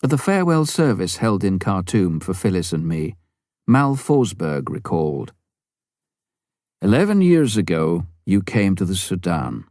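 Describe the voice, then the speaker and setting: A man reading an audiobook as scripted narration, with measured, clearly phrased delivery. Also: low voice, very animated, a little mellow, dry recording, studio quality. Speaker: audiobook reader.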